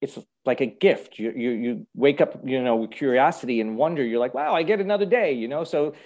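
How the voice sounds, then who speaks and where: A man hosting a casual, reflective conversation, speaking thoughtfully and haltingly and often checking in with the listener. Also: mid-range voice, a little mellow. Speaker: host.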